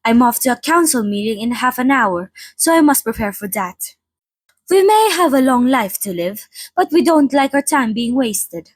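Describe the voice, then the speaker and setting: A woman playing a polite, chatty old vampire being interviewed in an ASMR roleplay, speaking in a slightly formal, gently wry way. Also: a little high, big pitch swings, moderate pace, husky, no room sound. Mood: friendly, courteous. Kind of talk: ASMR roleplay, in-character monologue to listener.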